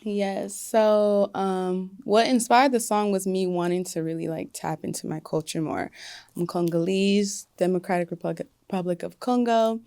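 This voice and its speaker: Smooth-voiced young woman, casual and flat. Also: medium pitch, normal speed, dry recording, clean studio sound. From United States. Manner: relaxed, many fillers like "like" and "you know". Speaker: singer guest. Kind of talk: casual interview talk.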